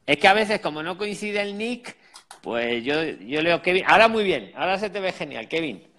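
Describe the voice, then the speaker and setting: A man's voice: sits high, sing-song, moderate pace, pushed and bright. A man with an accent from Spain hosting a casual live conversation, friendly and reassuring, often repeating his phrases. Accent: Spanish from Spain. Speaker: host of a live advice stream with chat.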